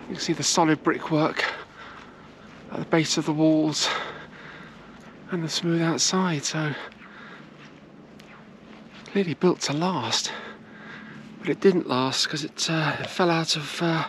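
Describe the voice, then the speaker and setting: A middle-aged woman giving walking-tour commentary at castle ruins, talking unhurriedly with many hesitations into a camera held at arm's length. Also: deep voice. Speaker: travel vlogger. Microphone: handheld camera at arm's length. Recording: outdoor noise in the background.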